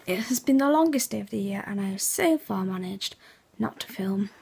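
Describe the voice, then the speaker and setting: A teenage girl from England, raspy and hoarse, chatting quietly in a late-night webcam vlog in her room, half-whispering so as not to wake the house. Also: medium pitch, animated, moderate pace. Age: teens. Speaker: vlogger. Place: bedroom at night, indoors. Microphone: laptop webcam.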